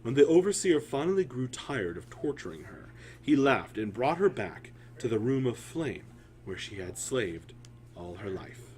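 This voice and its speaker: A man telling a fantasy story in a solemn, theatrical way, with deliberate phrasing and dramatic pauses. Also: mid-range voice, big pitch swings, darkish tone.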